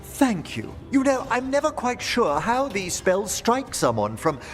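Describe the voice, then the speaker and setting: An actor playing a genteel older necromancer professor in a video game, effusive, refined and polite. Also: male voice, sits high, wildly swooping pitch, unhurried, full character voice. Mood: modest, courteous. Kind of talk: video game cutscene acting. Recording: long reverb, loud music behind.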